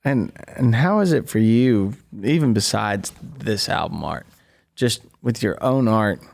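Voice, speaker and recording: A man's voice: lowish voice, lively intonation, a little slow, creaky, a little mellow. A young man hosting a casual chat, hesitant and full of fillers, laughing often. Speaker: musician, host. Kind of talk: casual conversation.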